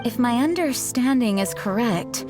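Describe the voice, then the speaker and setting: A woman's voice: a little high, animated, a little slow. A woman playing an earnest, dutiful young knight leader in a video game, formal in manner. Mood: composed. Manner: composed, formal. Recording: music behind the voice.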